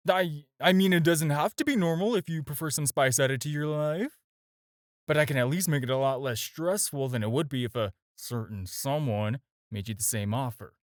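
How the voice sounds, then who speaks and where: A man's voice: fairly high pitch, moderate pace, no room sound, clean studio sound, natural voice. A man acting a flirty, self-assured supervillain in an audio roleplay, talking to the listener in a teasing, casual, conversational way. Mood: flirtatious. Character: flirty, smooth, self-assured male supervillain courting his tailor.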